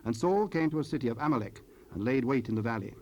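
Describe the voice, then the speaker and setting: A man narrating scripture from a script in a solemn, measured manner. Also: medium pitch, expressive, a little mellow, lo-fi sound. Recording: noisy cassette rip, ambient sound.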